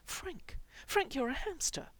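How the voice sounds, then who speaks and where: A woman's voice: fairly high pitch, sing-song, fairly quick, no echo, voice pushed up, poor audio. A woman voicing a nervous, sensible man turned hamster in a story, sounding anxious, incredulous and slangy. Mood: desperate, astonished.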